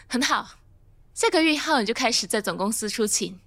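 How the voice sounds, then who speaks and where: A woman acting a composed, frank office manager in a radio drama, businesslike and measured. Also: fairly high pitch, expressive, a bit bright. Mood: approving.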